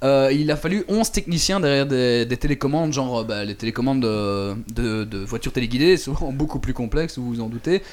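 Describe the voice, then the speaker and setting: Hesitant chatty man, mid-pitched. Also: fairly quick, few pauses, darkish tone. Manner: hesitant, many fillers and repeated words, chuckles often. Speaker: radio show contributor recounting a trip. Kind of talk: casual chat.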